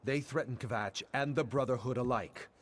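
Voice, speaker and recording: A man's voice: mid-range voice, normal speed, slight background noise, lightly acted. A man voicing a haughty, impatient nobleman in a fantasy game, pompous and formal. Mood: grudging, grave.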